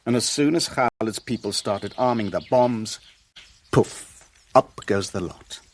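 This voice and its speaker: An actor in a radio drama playing a cornered Levantine businessman, formal and measured, defending himself with wounded dignity. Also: fairly low pitch, slight background noise. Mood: grimly explaining.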